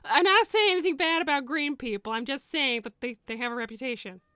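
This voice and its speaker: Woman plays cartoon fox mother: high voice, doting, naive, stubborn and chatty, recorded very dry. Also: fast talker, bright, forceful tone, no room sound. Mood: naive, musing. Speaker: character on a live roleplay show. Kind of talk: improvised in-character livestream chat.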